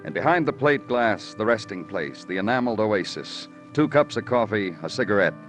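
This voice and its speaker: A world-weary male detective, played by a radio actor speaks in a fairly deep voice with very varied intonation. His delivery is deliberate and brooding, in classic crime drama style. Music plays behind him. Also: animated, close to an everyday voice. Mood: brooding, wistful. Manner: poetic, deliberate, terse in dialogue. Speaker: lead actor and narrator. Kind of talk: 1940s-50s radio crime drama narration and acting.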